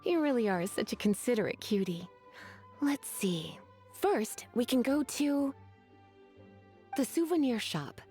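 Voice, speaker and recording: Flirtatious video game lady, fairly high voice, coy drawl, lively intonation, languid, teasing, sweetly menacing. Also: a little slow, pauses often, soft background music.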